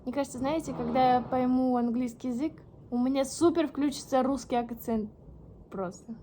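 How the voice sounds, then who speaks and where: A young woman whose first language is Russian, reading an English book aloud haltingly and stopping to puzzle over words. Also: high-pitched, steady tone, mellow, a little hiss or hum. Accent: Russian, reading English with a slight American tinge. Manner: slow, halting reading with restarts and puzzled asides. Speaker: vlogger.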